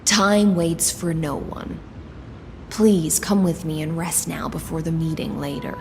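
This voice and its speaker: An actress playing a dutiful, courteous female knight commander in a fantasy game, speaking in a formal, measured, deferential way. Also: low-pitched, steady tone, vocal fry, in a large hall, noisy background. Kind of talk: scripted fantasy game dialogue.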